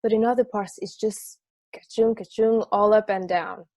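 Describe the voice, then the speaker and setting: A man's voice: high-pitched, fairly even intonation, moderate pace, darkish tone. An easygoing sports fan who relishes the weird played by a man chats in a conversational table-read style. The sound is close and dry, with no room echo. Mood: enthusiastic, wry. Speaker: amateur actor in a remote table read. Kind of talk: conversational dramatic script reading.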